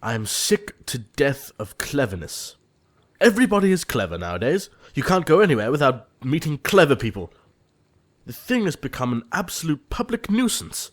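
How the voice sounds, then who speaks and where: A man playing an earnest young gentleman suitor in a period comedy audio play, formal and easily exasperated. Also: fairly high pitch, big pitch swings, moderate pace. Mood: irritated. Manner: formal, earnest, fussily indignant.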